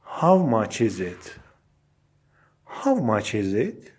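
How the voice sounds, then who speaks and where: Male language teacher for phrase drills, extremely slow delivery, lively intonation, deliberate and separated phrasing. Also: lowish voice, slightly rough. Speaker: English teacher. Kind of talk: English lesson, reading short phrases aloud with repetition.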